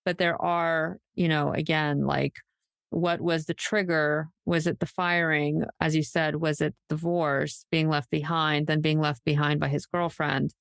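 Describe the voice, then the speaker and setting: Female podcast host, crisp voice in a dry recording, conversational, opinionated and plain-spoken. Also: sits low, little pitch movement, measured pace, no echo. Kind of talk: casual true crime discussion.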